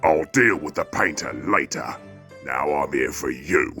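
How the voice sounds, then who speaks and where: A performer voicing a brutish, blustering villain hunter in a comic fan dub, gravelly and threatening. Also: rumbling low, expressive, a little slow, exaggerated voice. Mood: menacing. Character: brutish villain hunter, menacing, blustering male beast rider. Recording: loud music behind the voice.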